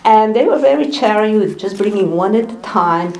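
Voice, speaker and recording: A woman in her sixties speaking with a Hungarian accent, addressing an evening audience as a scholar. Her delivery is thoughtful and unhurried. She often pauses briefly to find the next word. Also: mid-range voice, lively intonation, a little mellow, low-quality recording. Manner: thoughtful, unhurried, many small pauses and fillers. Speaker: scholar giving a lecture. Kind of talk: public talk at an evening event.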